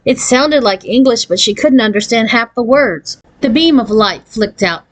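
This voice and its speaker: A woman's voice: on the high side, expressive, moderate pace. A woman narrating a children's novel as a read-aloud, following a written script. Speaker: audiobook reader.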